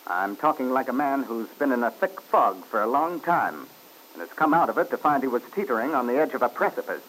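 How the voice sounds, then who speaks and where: A cold, domineering husband in an old radio drama. He drawls endearments with mockery and a lively, swooping pitch. Faint hiss sits under the broadcast. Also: a little fast, poor audio. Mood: calm. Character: cold, commanding husband named Paul. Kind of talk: 1940s radio drama acting.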